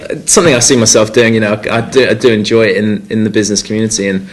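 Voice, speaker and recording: Young North Wales man, rugby player at a presser, low-ish voice, halting and full of "you know". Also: fairly even intonation. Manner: hesitant, many fillers and restarts. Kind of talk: press conference interview.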